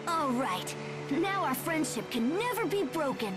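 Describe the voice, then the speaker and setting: High, lively, eager young boy. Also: a little slow, loud music behind the voice. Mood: upbeat. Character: eager, friendly young boy, earnest and cheerful. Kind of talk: English-dubbed anime game cutscene acting.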